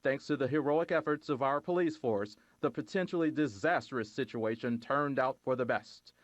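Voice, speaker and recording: A man playing a formal male television news anchor reading bulletins in a crisp, even delivery. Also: medium pitch, normal speed, dry recording, natural voice.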